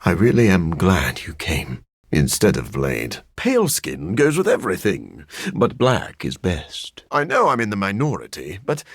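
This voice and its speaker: A man voicing a brooding, intellectual vampire scientist in a video game, formal and articulate with dry wit and a gravelly, husky voice. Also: deep voice, wildly swooping pitch, measured pace, no echo, full character voice. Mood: wry, amiable. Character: brooding, intellectual adult male vampire scientist, dryly witty. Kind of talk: video game character dialogue.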